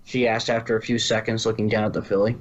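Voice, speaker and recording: A man's voice: fairly low pitch, level tone, fast, a little hiss or hum, low-quality recording. A man reading story narration from a script, now and then stumbling and rereading a phrase. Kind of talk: scripted fan fiction narration.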